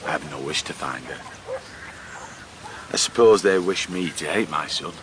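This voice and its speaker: An actor playing a cold, vengeful, possessive farm master in a radio drama, speaking with deliberate menace. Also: male voice, extremely low pitch, a bit bright, a little hiss or hum. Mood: cold, menacing.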